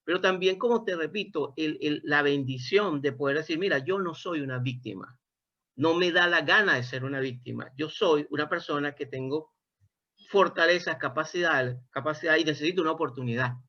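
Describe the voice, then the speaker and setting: A man's voice: fairly high pitch, a little fast, pushed and bright. Venezuelan man, reflective interviewer, lo-fi sound. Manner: thoughtful, expansive, self-correcting. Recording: dull lo-fi sound.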